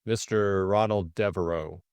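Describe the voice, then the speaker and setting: A man voicing a stolid middle-aged police superintendent, cautious, measured and plain-spoken, in an audiobook story. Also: low-pitched, fairly even intonation, darkish tone, smooth, dry recording.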